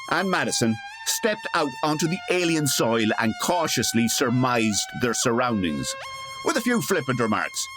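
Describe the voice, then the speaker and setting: An actor playing a wry, theatrical storyteller, delivering wordy, dramatic narration for an old-time radio serial. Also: male voice, high-pitched. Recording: loud music under voice. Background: music.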